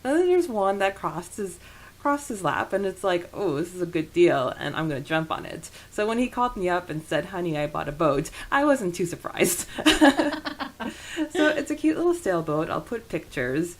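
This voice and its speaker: A woman with a voice a little on the low side speaks as a podcast host. She tells personal stories in a casual, informal way, slipping into quoted dialogue as she goes. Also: sing-song, moderate pace.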